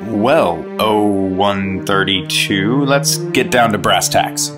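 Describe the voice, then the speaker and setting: An actor playing an earnest, naive young FBI agent, clean-cut and stiff, in 1950s radio drama style. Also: male voice, fairly low pitch, slow, loud music behind the voice. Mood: eager, polite.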